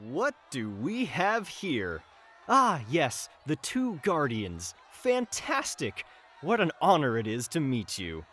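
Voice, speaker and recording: An actor playing a mocking, theatrical villain who drawls taunts and barks orders in a fantasy audio drama. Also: male voice, a little high, wildly swooping pitch, slow, a bit bright, slight background noise, full character voice. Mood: smug mockery. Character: mocking, theatrical young male villain commanding brutish henchmen.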